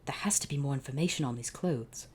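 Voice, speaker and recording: Low-voiced woman reading with sarcastic asides. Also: quick pace, a little mellow, a little hiss or hum. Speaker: channel host. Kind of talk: reading fan fiction aloud with sarcastic commentary.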